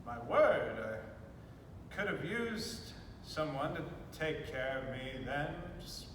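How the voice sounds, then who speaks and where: Male, acting: elderly British gentleman general, flustered and courtly, slow halting delivery, distant in an echoey hall. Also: sing-song. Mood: blustering. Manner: halting, stammering, formal old-fashioned politeness. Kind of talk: student stage play acting. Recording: echoey hall, distant mic, faint rumbling noise.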